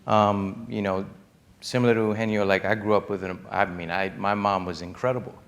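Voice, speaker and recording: A man with a low-pitched voice answers as a panelist. He speaks conversationally and reflects on his own life. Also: little pitch movement, a little fast. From Lower East Side of New York.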